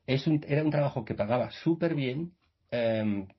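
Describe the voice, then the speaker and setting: Brief: male guest, husky voice, meandering anecdotes, muffled call audio. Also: medium pitch, expressive, moderate pace. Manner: rambling with many restarts and fillers. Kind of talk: casual storytelling in a radio interview.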